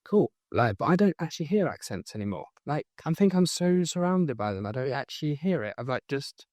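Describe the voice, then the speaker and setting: A man's voice: on the high side, big pitch swings, brisk, a little mellow, dry recording, clean studio sound. A man with a South London accent hosting a podcast in a casual, chatty, informal way. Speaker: podcast host. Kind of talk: casual chat.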